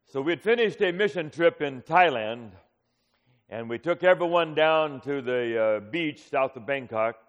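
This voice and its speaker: A man in his fifties, a pastor, preaching a sermon in a relaxed storytelling way with frequent pauses. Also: fairly high pitch. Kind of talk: sermon with personal stories. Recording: muffled hall recording with long reverb.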